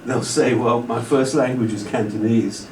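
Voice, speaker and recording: A man's voice: mid-range voice, steady tone, moderate pace, darkish tone, recorded from a distance, slight background noise. Man lecturing in an echoey hall. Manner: discursive, many restarts and "you know". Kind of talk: lecture Q&A answer. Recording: roomy hall audio from afar.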